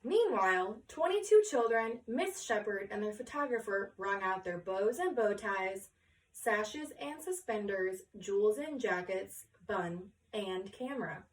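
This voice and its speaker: A woman reading a children's story aloud with expressive, deliberate phrasing, pausing to question her listener. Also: medium pitch, far from the mic, low-quality recording.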